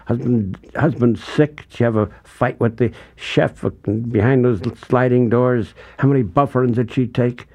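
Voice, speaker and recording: An older man interviewing a guest, telling animated stories and acting out the people in them. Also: medium pitch, normal speed, hardly any pauses. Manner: animated storyteller who acts out the people in his stories. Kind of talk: conversational interview.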